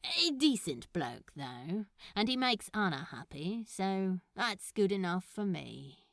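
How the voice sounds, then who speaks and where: A woman voicing a blunt, sardonic but soft-hearted man in casual, exclamatory fan fiction dialogue. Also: mid-range voice, sing-song, slow, gravelly, no room sound, clean studio sound, exaggerated voice.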